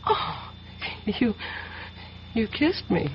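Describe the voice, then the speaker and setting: A woman's voice: medium pitch, huge pitch swings, drawn-out words, heavily acted. An actress playing an anxious, romantic woman in a radio drama, with a hesitant, dramatic delivery. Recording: dull lo-fi radio recording, clear hiss.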